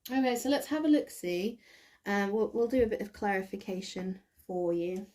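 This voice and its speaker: A woman with a croaky voice doing a casual tarot reading to camera, thinking aloud with many ums and restarts. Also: medium pitch, normal speed, darkish tone. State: croaky, recovering from bronchitis. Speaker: tarot reader.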